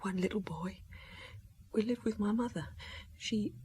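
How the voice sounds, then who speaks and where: An actress playing a grieving, tearful French wife in a radio drama, halting and fragile, often near a whisper. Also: female voice, mid-range voice, warm tone, slightly rough. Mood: sorrowful, wistful.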